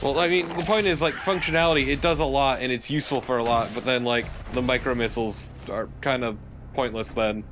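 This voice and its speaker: Man, medium pitch, casual gaming chat, co-commentator, noticeably noisy background. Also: steady tone, a little fast. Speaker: co-commentator on a let's play. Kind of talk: casual video game chat. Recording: noticeable background noise, game sounds.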